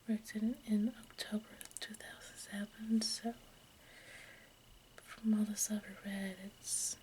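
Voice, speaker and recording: Whispering woman, slow and halting. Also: mid-range voice, dark tone, a little hiss or hum, rough recording. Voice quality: breathy unvoiced whisper. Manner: halting, stumbles over words while reading. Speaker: ASMR video host. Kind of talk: whispered ASMR, informal reading of history.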